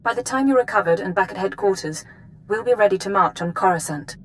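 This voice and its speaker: Actress as caring female spy-agency dispatcher, game drama, medium pitch, efficient, faint rumble behind. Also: fast, lightly acted, rough recording. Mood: reassuring. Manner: efficient, formal, concerned.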